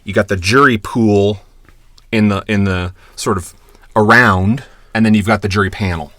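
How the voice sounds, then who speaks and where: Lively lower-voiced man telling stories. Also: normal speed, no echo, clean studio sound. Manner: animated, swears, acts out quoted voices. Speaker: podcast co-host. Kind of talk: casual storytelling chat.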